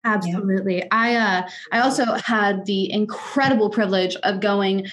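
A woman's voice: mid-range voice, moderate pace, fairly bright tone. A twenty-year-old woman from Kansas City, a music artist and executive, telling her story in an interview with earnest, articulate emphasis. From Kansas City, United States. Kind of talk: candid conversational storytelling.

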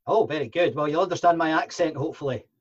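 Higher-pitched Scottish man interviewing, slightly dull sound. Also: quick pace, husky. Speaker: podcast interviewer. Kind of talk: conversational interview.

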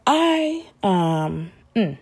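A woman's voice: mid-range voice, very animated, extremely slow, a little mellow, breathy edge. A woman hosting a casual solo podcast, talking bluntly with lots of swearing, restarts and a stuffy, congested nose. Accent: Southern US. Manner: blunt, profane, rambling with restarts. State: congested from allergies. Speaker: podcast host.

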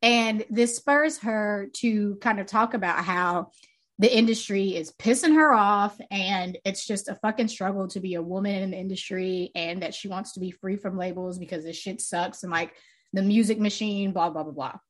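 A young woman co-hosting a music podcast, her talk loose and casual, sprinkled with swearing and laughter. She calls her own voice pitchy and a little grating. The sound is close and intimate, right up on a desk microphone. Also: moderate pace. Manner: chatty, loose and profane, laughs often. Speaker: podcast co-host. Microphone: close desk mic.

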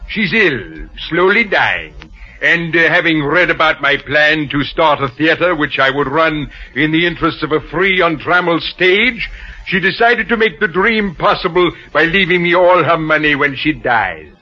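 Bright-voiced, hammy has-been matinee idol. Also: male voice, a little high, animated, normal speed. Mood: eager, persuasive. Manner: florid, hammy, smooth-talking. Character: washed-up matinee idol, vain, ingratiating, grandly broke. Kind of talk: 1940s radio drama acting. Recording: muffled old radio recording.

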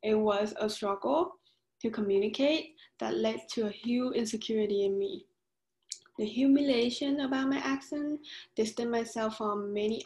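A woman's voice: fairly high pitch, darkish tone, echoey room. A young woman in her twenties from Vietnam, speaking English with a Vietnamese accent, giving earnest answers as an interview guest. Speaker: graduate student guest.

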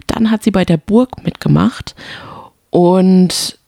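Mellow woman with a creaky voice. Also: on the low side, slow, no room sound, clean studio sound. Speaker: podcast co-host. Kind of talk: casual chat about reality TV.

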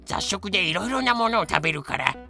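Man as a gravelly, bright cartoon cockroach know-it-all. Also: high-pitched, lively intonation, fast, faint music behind, heavily acted. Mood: cheerful, boastful. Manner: cutesy verbal-tic sentence endings, explanatory. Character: boastful talking cockroach, male, cheerful know-it-all. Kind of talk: fan-made comedic voice drama, educational banter.

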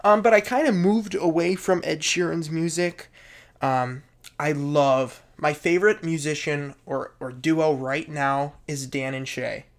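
Man, podcast co-host, casual chat, enthusiastic, repeats words, fairly high voice. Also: unhurried.

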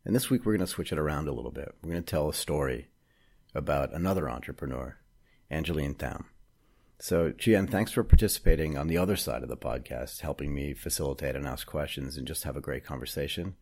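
A man's voice: rumbling low, quick pace, gravelly, a little mellow. A middle-aged man hosting a podcast, chatty and friendly, asking long rambling questions. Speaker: podcast host. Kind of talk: casual interview.

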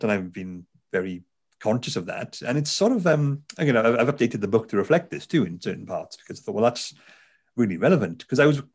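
Low-voiced Welsh man, chatty. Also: a little mellow, breathy edge. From South Wales. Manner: chatty, frequent fillers and restarts. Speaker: author, neuroscientist. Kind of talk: conversational interview.

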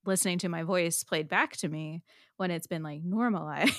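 A woman hosting a podcast in casual interview chat, with a quiet voice, chatty and quick to laugh. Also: lowish voice. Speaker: podcast host.

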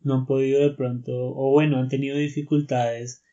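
A man hosting a talk, speaking in a measured way and often checking in with tag questions. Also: medium pitch, flat delivery, warm tone, lo-fi sound. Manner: measured, thinks aloud, frequent tag questions. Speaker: podcast host. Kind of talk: casual conversation.

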